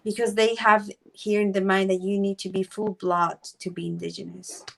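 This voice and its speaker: A woman from Peru, speaking English as a guest in a casual podcast conversation and pausing often with fillers. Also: medium pitch, little pitch movement, creaky, poor audio. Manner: hesitant, many fillers.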